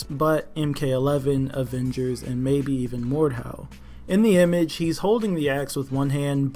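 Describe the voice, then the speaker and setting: Man narrating gaming news over music. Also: medium pitch. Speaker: gaming news video host. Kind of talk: casual opinionated video commentary. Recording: music behind the voice. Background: background music.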